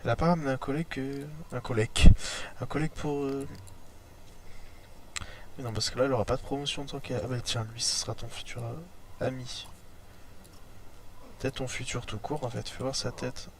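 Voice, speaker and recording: Homme enrhumé commentant un jeu. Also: male voice, mid-range voice, steady tone, a little fast, pauses often, slight background noise. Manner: chatty, talks to his sim and viewers. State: has a cold, congested throat. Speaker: let's play host. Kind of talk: casual gameplay commentary.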